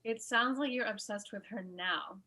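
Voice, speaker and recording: A woman's voice: fairly high pitch, normal speed, sharp tone. A woman playing a busy, sensible lawyer, dry and matter-of-fact, in a comedy table read. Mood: dry, impatient.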